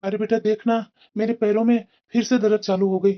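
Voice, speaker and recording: A woman voicing a weary, gentle but crafty elderly father-in-law in a moral-story cartoon, plaintive and coaxing, with dull sound. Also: mid-range voice, little pitch movement, brisk, lightly acted.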